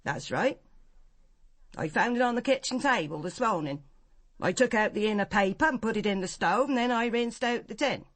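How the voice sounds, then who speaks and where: Blunt, defensive English servant, close-miked. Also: female voice, medium pitch, lively intonation, normal speed, a bit bright, dry recording. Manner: blunt, grudging. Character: disapproving, defensive female household servant. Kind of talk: BBC radio drama acting.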